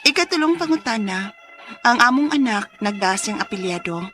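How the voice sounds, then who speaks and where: A woman's voice: fairly high pitch, animated, moderate pace, sharp tone, soft background music. An actress playing a hot-tempered, desperate young single mother with a Cebuano accent in a radio drama, emotional and accusing. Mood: troubled, pleading. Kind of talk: Filipino radio drama acting.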